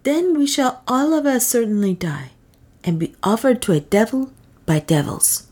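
Headman, grave and fearful, formal speech, pitched high, read by a woman narrator. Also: sing-song, normal speed, dark tone, breathy edge.

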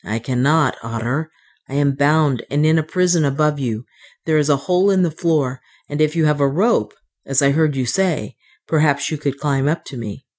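A woman's voice: deep voice, normal speed, darkish tone. A woman voicing a captive male adventurer in a storybook, a wry, commanding master who gives terse, urgent, old-fashioned instructions.

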